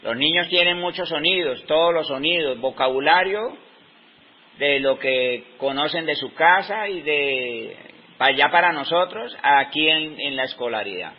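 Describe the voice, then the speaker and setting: A Colombian man in his fifties speaks unhurried in a slightly high voice, stopping often to think mid-sentence. The recording is dull and lo-fi. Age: 50s. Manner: slow, reflective, pauses often mid-sentence. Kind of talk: unscripted talk.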